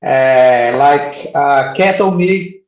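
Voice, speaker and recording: A man's voice: a little high, drawn-out words, highs cut off. A man from Brazil on a video call, talking hesitantly and searching for his English words. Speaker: participant asking questions. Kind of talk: casual chat on a video call. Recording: roomy echoing call audio.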